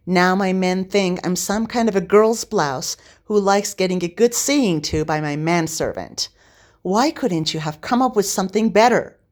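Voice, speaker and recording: A woman's voice: medium pitch, unhurried, a little mellow, close to an everyday voice. A woman voicing a proud, grumpy young male king in a story, with an indignant, commanding, snappish manner. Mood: grumbling.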